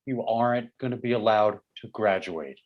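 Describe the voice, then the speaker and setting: A man acting a concerned but unbending school official, speaking in a quiet, measured way in a stage play reading. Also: lowish voice, highs cut off, lightly acted. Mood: firm, grave.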